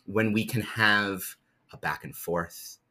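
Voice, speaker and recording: A man in his thirties from New Jersey, co-hosting a podcast and telling stories in a relaxed casual way. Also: fairly low pitch, slow. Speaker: podcast co-host. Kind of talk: casual chat.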